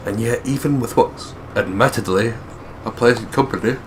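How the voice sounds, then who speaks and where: A man's voice: medium pitch, normal speed, noisy background. A man from the United Kingdom hosting a let's play, reading a visual novel's narration aloud with casual asides. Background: game music.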